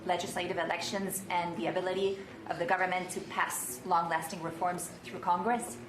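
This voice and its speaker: A woman's voice: fairly low pitch, little pitch movement, a little fast. Lady reporter, polite formal question, far from mic in a noisy room. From Argentina. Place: indoor press meeting, away from mic. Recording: distant, echoey room, clear background noise.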